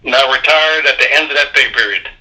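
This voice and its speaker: A man's voice: mid-range voice, fast talker, bright, forceful tone. A man in his sixties telling stories casually as an interview guest, blunt, salty and plain-spoken, over a telephone-like recording. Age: 60s. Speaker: interview guest, retired Border Patrol agent.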